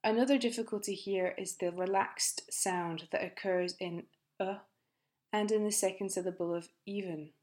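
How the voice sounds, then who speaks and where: A woman with a British accent talks through English listening clips at a careful, measured pace. Her delivery is clear and explanatory, like a scripted lesson. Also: medium pitch, steady tone, darkish tone. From Britain. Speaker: English pronunciation teacher.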